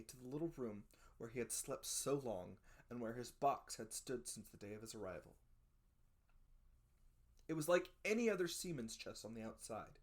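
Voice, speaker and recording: A narrator giving a dramatic scripted reading of a classic adventure story, with expressive, theatrical emphasis. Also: medium pitch. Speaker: audiobook narrator.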